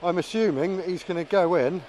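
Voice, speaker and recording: A man's voice: sits high, a little fast, darkish tone, slight background noise. A man giving casual, musing running commentary as a vlogger flying a drone, thinking aloud as he goes. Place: outdoors.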